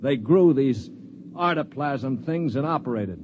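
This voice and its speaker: An actor narrating and playing a calm, sardonic space traveler in a 1950s radio drama. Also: male voice, mid-range voice, unhurried, slight background noise, natural voice. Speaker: narrator and lead. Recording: dull old radio recording with music behind.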